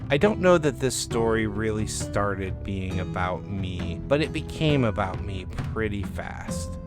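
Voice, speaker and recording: A man's voice: fairly low pitch, expressive, measured pace, music in the background. A man reading scripted first-person narration as the author and narrator of a short story.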